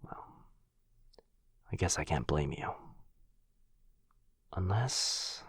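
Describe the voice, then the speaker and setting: A man as a deep, husky, gentle vampire. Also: lively intonation, heavily acted. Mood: gentle, hesitant. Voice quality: breathy, husky, soft. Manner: slow, hushed, halting, soothing delivery. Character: gentle, protective male vampire soothing a human. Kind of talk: boyfriend ASMR roleplay audio drama.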